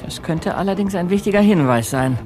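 A man's voice: high voice, expressive, a little fast, darkish tone, faint music behind. A man playing a good-natured, easily baffled teenage detective in a German audio drama, with a somewhat hesitant delivery. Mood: curious.

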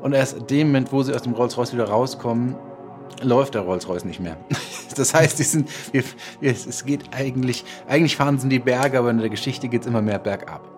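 A man's voice: medium pitch, darkish tone. Author guy chatting about his writing, stops and restarts a lot, music under it. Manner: thoughtful, halting restarts. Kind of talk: casual interview talk.

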